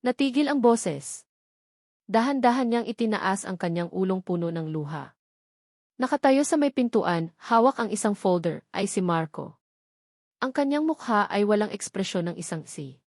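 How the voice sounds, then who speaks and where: A woman narrates a dramatic love story. Her voice sits at a middle pitch for a woman. The recording is dry, with no room around it. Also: lively intonation, normal speed, a little mellow, dry recording. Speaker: narrator of audio love stories.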